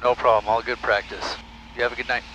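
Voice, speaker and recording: A man's voice: medium pitch, quick pace. A man flying a small plane, talking himself through it in short, clipped phrases with casual cockpit commentary and radio calls. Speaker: private pilot. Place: small aircraft cockpit in flight. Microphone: aviation headset. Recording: steady engine noise in cockpit. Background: aircraft engine drone.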